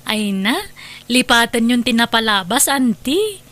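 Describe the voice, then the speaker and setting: A woman's voice: fairly high pitch, expressive, a little slow, fairly bright tone. A woman playing a polite young niece from the city in a radio drama, with an Ilocano Filipino accent. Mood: gentle, reassuring.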